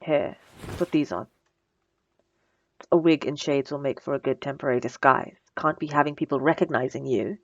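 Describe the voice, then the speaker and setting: A woman playing a bossy, sarcastic supervillain with a soft spot, in a teasing, dry, conversational ASMR roleplay. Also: a bass voice, normal speed, pauses often, highs cut off. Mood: bossy, sardonic. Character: female supervillain, bossy and sarcastic, secretly flustered by compliments. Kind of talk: ASMR roleplay audio drama.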